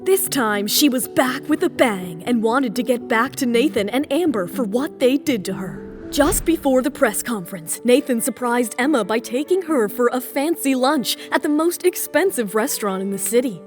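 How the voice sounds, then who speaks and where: Female narrator, high voice, dramatic scripted storytelling, audio romance, faint music underneath. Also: lively intonation, normal speed.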